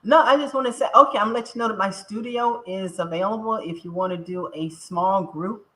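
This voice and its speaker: A woman's voice: medium pitch, fairly quick, bright, forceful tone, rough recording. A woman appearing as a guest on a live-stream talk show, speaking casually.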